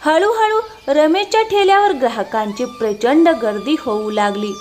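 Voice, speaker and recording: A woman narrating a scripted cartoon story. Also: fairly high pitch.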